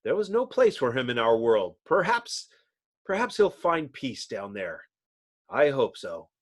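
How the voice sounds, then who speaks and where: A man's voice: medium pitch, sing-song, unhurried. Man playing an earnest, dramatic superhero leader. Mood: solemn. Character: earnest, thoughtful male leader of superhero team.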